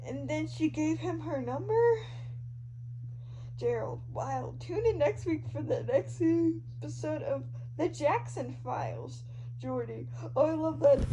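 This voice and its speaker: Amateur podfic reader, female, high voice, casual expressive delivery with small stumbles, clearly noisy audio. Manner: informal, stumbles over words. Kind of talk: expressive fan fiction reading of social media posts and narration. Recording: noisy amateur home recording.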